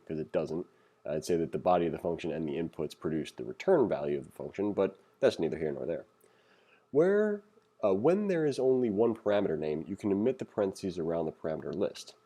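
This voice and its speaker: A man's voice: sits low, mellow. A man giving a casual, conversational programming tutorial as instructor, reading along and adding opinionated, self-deprecating asides.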